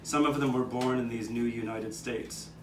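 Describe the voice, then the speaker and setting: Puppet show role: man as reflective old male street cat telling his past, measured, recorded from afar over clear noise. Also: medium pitch, steady tone, close to an everyday voice. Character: old male street cat, reminiscing storyteller with nine lives. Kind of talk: scripted puppet show narration. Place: outdoor courtyard. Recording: distant, echoey live taping with background noise.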